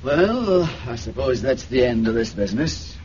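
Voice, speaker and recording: An actor playing a resigned, thoughtful politician with a Scottish accent in a radio drama. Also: male voice, medium pitch, big pitch swings, normal speed, darkish tone, close to an everyday voice. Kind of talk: 1970s radio drama acting. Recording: dull, faintly noisy radio recording.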